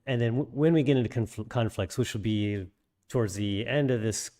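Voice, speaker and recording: A man explaining a coding workflow in a screen-share tutorial, his voice slightly raspy after being sick, with frequent ums and restarts. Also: medium pitch, normal speed, mellow. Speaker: programming instructor.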